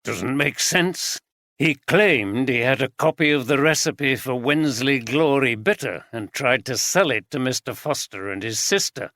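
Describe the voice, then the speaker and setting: A man's voice: medium pitch, lively intonation, a little slow, fairly bright tone, no room sound. A man doing a scornful cooper. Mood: plain. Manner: scornful, plain-spoken. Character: scornful middle-aged male brewery cooper.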